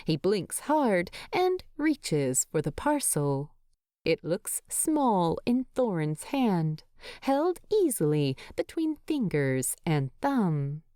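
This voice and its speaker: A woman narrating a story in an expressive, tender way. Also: fairly low pitch, slow, no room sound, studio quality. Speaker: fan fiction narrator.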